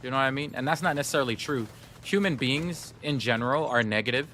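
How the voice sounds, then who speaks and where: A man's voice: medium pitch, fast, sharp tone, a little hiss or hum. Upbeat man chatting with his stream, Somali native. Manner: chatty, upbeat, talks straight to chat. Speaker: gaming streamer. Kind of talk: casual live-stream chat.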